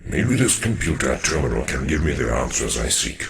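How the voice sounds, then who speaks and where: A man playing a grave, weary old alien warrior in a video game, with a deep gravelly voice and a solemn, formal manner. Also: big pitch swings, normal speed, a little hiss or hum, exaggerated voice. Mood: troubled, musing.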